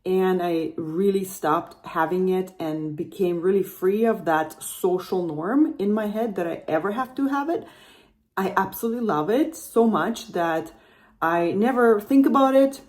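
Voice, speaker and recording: A woman's voice: mid-range voice, a little slow, darkish tone. A woman from Russia hosting a podcast, telling her personal story in a chatty, rambling way and often correcting herself mid-sentence. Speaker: podcast host.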